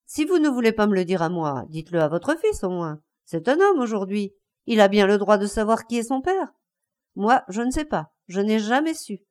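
A woman's voice: medium pitch, sing-song, normal speed, a little mellow, natural voice. A woman voicing a bitter, enraged older man, a betrayed husband in a story, breathless and insistent in his outbursts. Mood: scornful, insistent.

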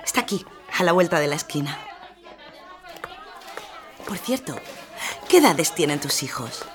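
A woman's voice: deep voice, very animated, a little slow. An actress playing a well-off, middle-aged charitable lady in an audio drama, genteel and faltering. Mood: kindly. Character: well-off, hesitant charitable lady, mother of six.

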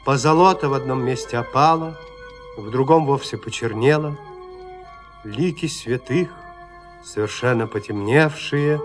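Slow, dramatic male narrator. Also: medium pitch. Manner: measured, suspenseful, theatrical phrasing.

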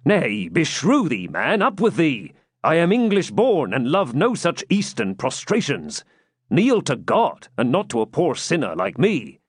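Man playing an animated, commanding outlaw captain. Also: a little high, measured pace, breathy edge, no room sound, clean studio sound, voice pushed up, heavily acted. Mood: gruff disdain. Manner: grave, commanding, archaic speech. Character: bold, plain-spoken, generous English outlaw captain, male.

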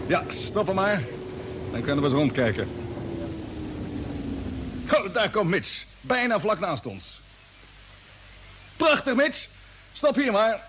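An actor playing a determined, stubborn commander of a Mars expedition in a vintage radio drama, commanding and urgent. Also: male voice, high-pitched, big pitch swings, slow, pauses often, fairly bright tone, full character voice. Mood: pleased. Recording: noisy vintage radio play recording.

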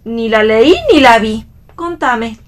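A woman's voice: a little high, slow pace. A woman with an Argentine accent playing a playful, teasing young wife in a read-aloud stage play. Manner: teasing, bantering.

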